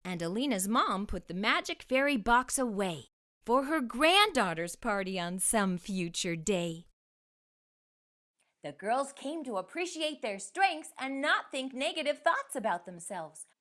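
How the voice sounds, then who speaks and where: A woman's voice: on the high side, sing-song, measured pace, sharp tone. A woman hosting a children's read-aloud, narrating a storybook expressively with careful delivery.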